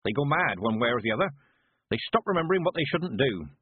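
A man voicing a stern, commanding old female witch in an audiobook, speaking in a brisk and imperious way. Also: medium pitch, sing-song, husky, no echo, phone-quality sound. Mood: matter-of-fact.

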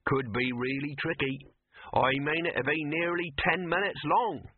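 A man's voice: on the high side, a little slow, husky, no room sound, narrow, tinny audio, voice pushed up. A man voicing a thoughtful, practical technician, reflective and tentative, in an audiobook story. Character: thoughtful, practical male technician, musing slowly.

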